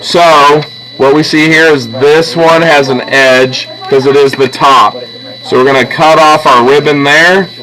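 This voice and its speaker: A man's voice: fairly high pitch, steady tone, measured pace, sharp tone, poor audio. A man teaching a drawing class step by step, patiently and with frequent check-ins like "okay?". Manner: patient, checks in often with "okay?" and "all right?". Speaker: art teacher. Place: classroom with students present.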